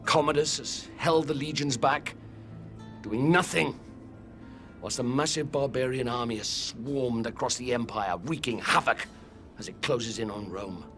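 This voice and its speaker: An actor playing a grave, resolute older Roman general in a video game cutscene, solemn and commanding. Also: male voice, medium pitch, unhurried, a bit bright, faint music behind, lightly acted. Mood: grave, urgent. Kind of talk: cinematic video game acting.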